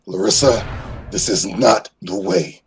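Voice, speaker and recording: A man playing a protective Jedi brother in an audio drama, pleading forcefully and urgently in a strained voice. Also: on the low side, a little slow, crowd noise, lightly acted. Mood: commanding, urgent.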